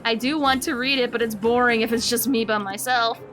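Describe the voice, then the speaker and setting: A woman's voice: a little high, fairly even intonation, fairly quick, a bit bright, soft background music, natural voice. Woman putting on an eager, chirpy young child. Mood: eager, cheerful. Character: eager young child who loves storybooks.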